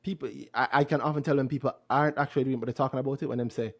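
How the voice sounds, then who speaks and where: A man's voice: medium pitch, steady tone, very fast. A man in casual investing chat on a podcast, explaining his thinking and asking rhetorical questions. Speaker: podcast host.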